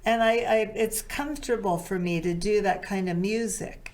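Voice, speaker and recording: A middle-aged woman with a low, soulful voice, a singer chatting as an interview guest, rambling and backtracking as she talks. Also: moderate pace, a little mellow. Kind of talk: casual interview chat.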